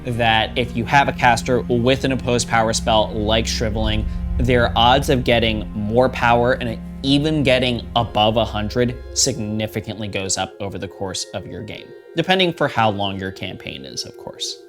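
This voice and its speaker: A man's voice: medium pitch, normal speed. A man hosting an explainer commentary, talking informally and with emphasis. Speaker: host of a tabletop game advice channel. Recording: faint guitar music behind the voice. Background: faint plucked guitar music.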